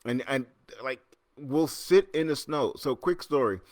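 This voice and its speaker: A man's voice: mid-range voice, measured pace, dry recording, studio quality. A middle-aged man from Trinidad hosting a casual livestream chat from his home, rambling with lots of restarts and reading viewer comments as they come in. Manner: rambling, chatty, many restarts and fillers, reads comments aloud. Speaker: host. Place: home in New York City.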